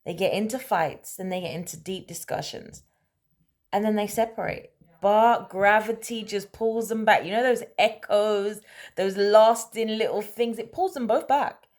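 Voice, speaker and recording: A woman telling a story in a casual, conversational way, animated and emphatic, often checking in with rhetorical questions. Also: mid-range voice, unhurried. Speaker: storyteller, host of a story-time series.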